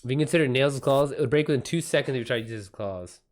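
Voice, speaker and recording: A man's voice: mid-range voice, very fast. A man chatting casually as a live streamer, in a slangy, profane and emphatic way. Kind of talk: casual livestream chat.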